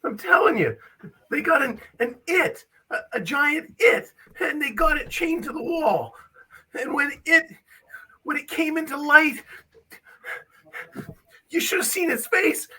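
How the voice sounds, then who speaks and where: A performer voicing an excitable, scared young boy adventurer with halting, dramatic delivery in an amateur script read. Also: high voice, full character voice. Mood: frightened. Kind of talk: amateur livestream table read of a film script. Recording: distant from the mic.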